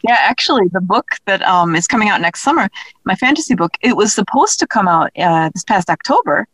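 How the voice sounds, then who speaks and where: A woman's voice: medium pitch, expressive, brisk, a bit bright, breathy edge, no echo, rough recording. A woman speaking casually as an interview guest, chatty and self-deprecating as she talks about her own work as an author. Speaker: interview guest, author and audio drama creator.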